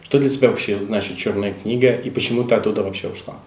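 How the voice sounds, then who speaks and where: A man's voice: fairly low pitch, fairly even intonation, fast, a little mellow, slight background noise. A man working as an interviewer, asking questions in an informal, familiar, conversational way. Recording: echoey room sound.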